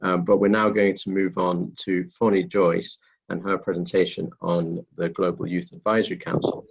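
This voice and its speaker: A man's voice: low-pitched, level tone, moderate pace, gravelly, breathy edge. A man moderating a webinar, handing over between presenters on an online call. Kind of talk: hosting a webinar. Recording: slightly dull online call audio.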